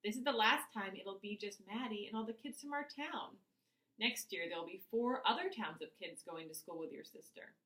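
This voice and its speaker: A woman's voice: medium pitch, expressive, moderate pace, pushed and bright, roomy sound, voice pushed up, low-quality recording. A woman voicing a patient, reassuring mother in a children's story. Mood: explaining.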